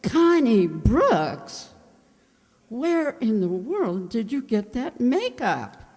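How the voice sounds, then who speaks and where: A woman playing a scatterbrained, kindly, nosy older landlady in a comedic old-time radio show, dithery and unhurried. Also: a little high, sing-song, darkish tone, exaggerated voice. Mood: astonished, teasing. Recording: hall-like, long reverb.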